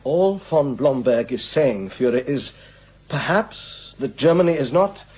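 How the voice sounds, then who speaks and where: An actor playing a deferential aide in a dictator's inner circle in a radio drama, fawning but ready to argue. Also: male voice, medium pitch, animated, unhurried, a little mellow, slightly rough. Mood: earnest, arguing.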